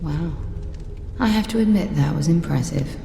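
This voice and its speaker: An actress playing a sly, teasing treasure hunter in a fantasy game, with a wry, sarcastic delivery. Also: female voice, deep voice, lively intonation, moderate pace, vocal fry. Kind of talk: fantasy game character acting. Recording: echoey hall reverb, music behind.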